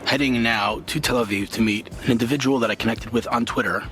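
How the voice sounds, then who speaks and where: A man vlogging and interviewing a guest in a quick, chatty, enthusiastic way full of asides. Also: medium pitch, steady tone, fairly bright tone, faint music behind, poor audio. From Israel. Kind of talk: casual vlog chat and interview.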